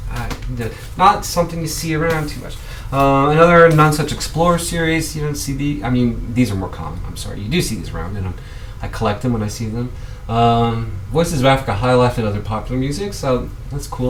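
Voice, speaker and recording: A man's voice: medium pitch, distant mic. A man hosting his own video, chatting casually and rambling as he shows the records he found. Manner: rambling, chatty, with many ums and restarts. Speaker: vlog host showing records. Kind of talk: casual show-and-tell. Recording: voice a bit off-mic, faint background noise.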